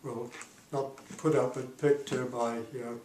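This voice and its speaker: A man in his eighties, a retired diplomat, recalling his career in an oral history interview, pausing often with fillers. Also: mid-range voice, little pitch movement, darkish tone. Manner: halting, many pauses and fillers. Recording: roomy, distant mic, faint noise.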